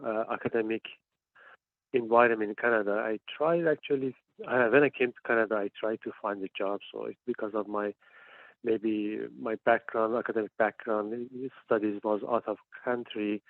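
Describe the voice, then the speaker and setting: A man's voice: mid-range voice, fairly even intonation, moderate pace, no room sound. A man in his forties from Iran, a panelist on a phone call, speaking with frequent hesitations and restarts. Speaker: panelist, PhD student. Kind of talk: panel discussion. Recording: tinny dry phone line.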